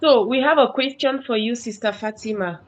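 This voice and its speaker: A woman's voice: a little high, moderate pace, lo-fi sound. A woman from Cameroon hosts a live-stream discussion, enthusiastically welcoming viewers. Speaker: host.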